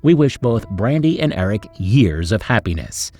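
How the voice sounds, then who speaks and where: A male storyteller reads a script in a suspenseful, upbeat way. His pitch sits on the low side but swings about in an animated manner. Music plays behind the narration. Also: normal speed. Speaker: narrator and host of a story channel.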